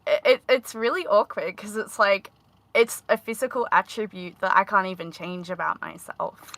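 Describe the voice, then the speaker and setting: A woman with a high-pitched voice talks in a halting, casual way, full of fillers and restarts. Her tone is bright and forward. The sound is dry and close. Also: no room sound. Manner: hesitant, many fillers and restarts. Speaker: panel guest. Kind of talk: casual panel discussion.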